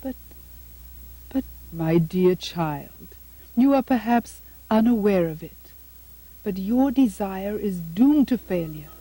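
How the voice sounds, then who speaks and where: Puppet-show villain: cruel, condescending queen, measured and theatrical, dark mellow tone female performer. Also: mid-range voice, expressive, low-quality recording. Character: haughty, cruel stepmother queen. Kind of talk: puppet show dramatic acting.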